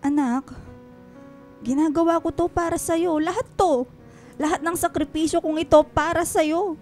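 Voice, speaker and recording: A woman's voice: extremely high pitch, fairly even intonation, moderate pace, a little mellow, soft background music. An actress playing a defensive, self-sacrificing mother with a Filipino accent, insistent and emotional in a radio drama. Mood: defensive pleading.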